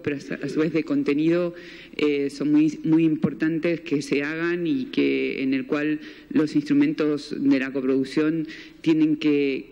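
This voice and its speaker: A film producer speaks at a press conference in a hesitant way, with many restarts. His voice sits fairly high and barely moves in pitch. The sound is dull and rings with a long hall reverb. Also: warm tone. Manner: hesitant, full of restarts and fillers.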